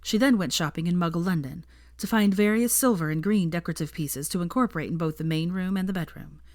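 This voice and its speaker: A woman's voice: lowish voice, quick pace, darkish tone, dry recording, studio quality. A woman narrating a story from a script in an audiobook style. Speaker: audiobook-style fan fiction reader.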